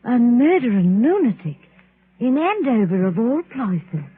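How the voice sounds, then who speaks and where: A woman's voice: on the high side, sing-song, measured pace, slight background noise. An actress playing a chatty, easily frightened working-class English woman tobacconist in an old-time radio drama. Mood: chatty, deferential. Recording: tinny band-limited old radio recording.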